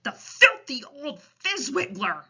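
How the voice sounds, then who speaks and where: A woman's voice: high voice, wildly swooping pitch, unhurried, bright, forceful tone, slightly rough, highs cut off, pitched above the performer's own voice, full character voice. A woman voicing a big friendly male giant from a children's storybook, tender-hearted and speaking in quirky broken grammar. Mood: indignant.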